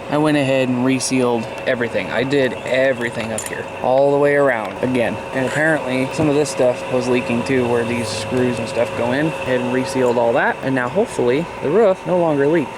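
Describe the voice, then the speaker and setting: A man's voice: mid-range voice, fairly quick, hardly any pauses. A man giving a casual, folksy walk-around vlog to his viewers, talking informally into a handheld camera. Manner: informal, folksy, addresses viewers as "you guys". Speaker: YouTube vlogger. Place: backyard shed and outdoors on an RV. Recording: loud motor and air-conditioner noise behind voice.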